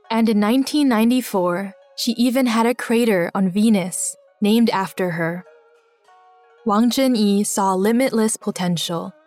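A woman reading a scripted biographical story for children in a measured, clearly enunciated storytelling way. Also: medium pitch, a little mellow, dry recording. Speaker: narrator of a children's biography podcast.

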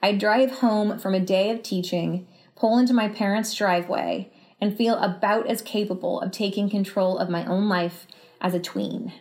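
A woman's voice: mid-range voice, fairly even intonation, moderate pace, a little mellow. A woman in her thirties with an American accent, a writer speaking thoughtfully as a guest about personal experience. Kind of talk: reading a personal essay aloud, then thoughtful interview answers.

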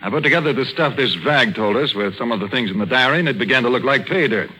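An American actor playing a gruff, sarcastic police detective in a 1940s radio crime drama, tough and taunting, on an old broadcast recording. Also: male voice, medium pitch, lively intonation, fast talker, sharp tone, a little hiss or hum, low-quality recording. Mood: probing.

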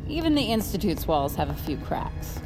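An actress playing a calculating, controlling corporate scientist boss in an audio drama, composed, authoritative and guarded. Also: female voice, lowish voice, big pitch swings, moderate pace, music in the background. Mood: proud secretive.

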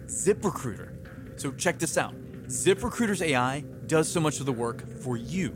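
A man's voice: on the high side, expressive, moderate pace, over music. A man hosting a chatty, bantering ad read, playful and mock-exasperated. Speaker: show director and ad host.

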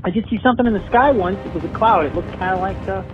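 An actor playing a lonely, bumbling tipline caller who talks in a halting, stammering way in a comic audio drama. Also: medium pitch, close to an everyday voice. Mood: lonely, flustered. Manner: halting, stammering, deadpan anticlimaxes. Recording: muffled lo-fi phone call with music behind.

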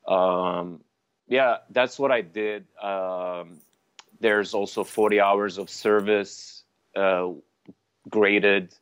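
Low-voiced man, Armenian native speaker. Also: fairly even intonation, smooth. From Lebanon. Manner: halting, many fillers and restarts. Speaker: sommelier guest. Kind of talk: casual interview chat. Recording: dull, dry lo-fi sound.

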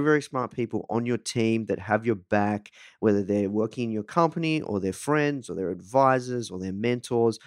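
Male podcast host, slightly low voice, casual and halting, circles around his questions. Very dry close-mic sound. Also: no echo. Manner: halting, repeats phrases while forming long questions.

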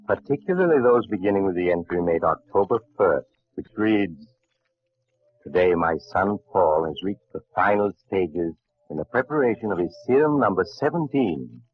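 An actor playing a sinister, courteous doctor who narrates a horror radio drama in a measured, ominous, theatrical way. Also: male voice, sits low, expressive, breathy edge. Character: sinister, courteous doctor host reading a secret journal. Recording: muffled old radio recording with music.

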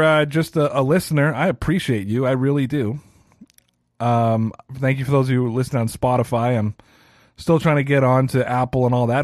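A man's voice: mid-range voice, darkish tone, no room sound, studio quality. Chatty man in his thirties ranting. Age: 30s. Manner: casual, chatty, self-deprecating. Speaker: podcast host. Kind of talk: solo sports rant podcast.

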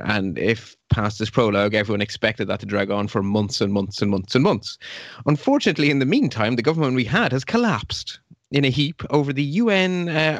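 A man's voice: mid-range voice, dry recording, dull audio. Brief: wry man, mid-range voice, very dry close sound, long explanations. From Belgium. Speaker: podcast co-host. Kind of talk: casual current-affairs chat.

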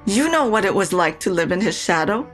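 An actress playing a proud, bitter older widowed mother in an audio drama, speaking in a deliberate, reproachful manner. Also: medium pitch, sing-song, lightly acted. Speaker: actor in a fiction podcast. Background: music.

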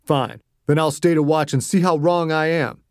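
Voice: mid-range voice, normal speed, dry recording, clean studio sound, lightly acted. A narrator voicing a blunt, protective man. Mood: wary. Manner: blunt, steady. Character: protective man, wary and stubborn.

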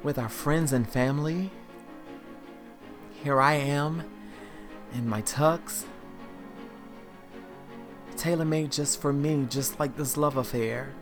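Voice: medium pitch, natural voice. A performer voicing a confident woman in a tuxedo, awestruck and dreamy, with faint music behind.

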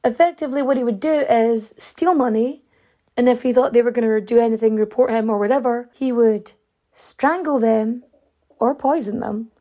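A woman's voice: on the high side, normal speed, mellow. A woman hosting a podcast, telling a true-crime story in a rambling, chatty way with many fillers and asides while she is losing her voice. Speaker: podcast host.

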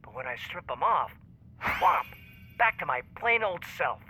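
A man's voice: fairly high pitch, big pitch swings, unhurried, bright, forceful tone, soft background music, exaggerated voice. An actor playing an earnest, insecure average guy phoning a hotline in an audio drama, pleading and emphatic through a telephone filter. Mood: earnest, fretful. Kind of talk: audio drama phone call.

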